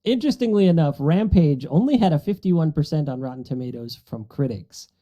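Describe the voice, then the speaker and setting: A man's voice: fairly high pitch, expressive, brisk, dark tone, dull audio. A middle-aged man chatting casually about movies as a podcast panelist, in an explanatory, conversational way.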